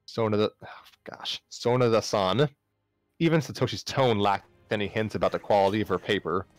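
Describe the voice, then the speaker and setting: A man's voice: on the low side, a little fast, no room sound. A man narrating a story aloud live, sometimes stumbling and rereading phrases. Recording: music playing behind the voice.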